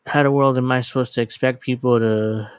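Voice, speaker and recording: A man in his thirties hosts a casual livestream in a clear, smooth voice at a middling pitch. He rambles in a scattered, self-deprecating way and swears casually. The sound is dry and close on a dedicated microphone. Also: fairly even intonation, fairly quick, no echo. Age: 30s. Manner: casually profane. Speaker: livestream host.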